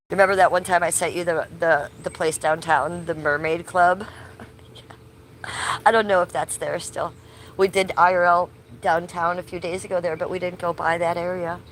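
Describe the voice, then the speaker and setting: A woman hosts a loose, chatty livestream from her tree-covered backyard, a bit sick and losing her voice. Her voice sits on the lower side. Faint outdoor noise and passing cars can be heard behind her. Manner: chatty, loose, swears casually, talks to chat viewers by name. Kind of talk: casual livestream chat outdoors. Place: backyard under trees, outdoors.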